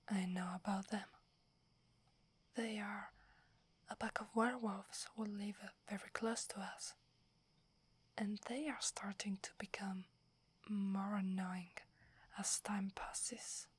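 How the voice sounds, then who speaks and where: Woman doing obedient earnest werewolf girl asmr, italian accent, super breathy whispering, pretty even tone, respectful n hesitant. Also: medium pitch. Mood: dutiful, earnest. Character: submissive, brave young female werewolf, earnest and deferential.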